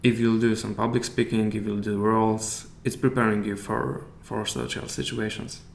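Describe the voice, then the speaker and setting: Polish-accented guy on a podcast, low flat voice, stops to find words, echoey room w/ a bit of hiss. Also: normal speed, vocal fry. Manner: hesitant, often searching for English words. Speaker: guest. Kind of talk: casual interview chat.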